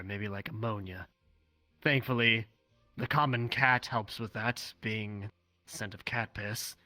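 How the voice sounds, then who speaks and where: A man's voice: on the low side, pushed and bright, no room sound. A man playing a knowledgeable, pragmatic trapper for hire in an improvised VR roleplay, reasoning things out aloud. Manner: analytical, thinks aloud with hedged reasoning. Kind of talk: improvised in-character roleplay in a social VR game.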